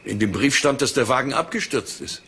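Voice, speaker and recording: An actor playing a haughty, guarded gentleman suspect in a crime drama, speaking curtly, precisely and with condescension. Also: medium pitch, lively intonation, a little fast, slight background noise. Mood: curt, disdainful. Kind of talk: German crime drama dialogue.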